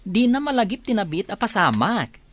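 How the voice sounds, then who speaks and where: A woman's voice: mid-range voice, big pitch swings, normal speed. A woman with a Filipino accent acting a caring, hopeful sibling in a radio drama. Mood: concerned.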